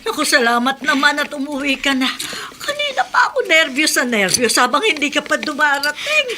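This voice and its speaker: A woman's voice: sits high, sing-song, fairly quick, background noise. An actress playing a fussing, gossipy mother in a radio drama. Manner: fussy, gossipy chatter. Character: fussing middle-aged mother.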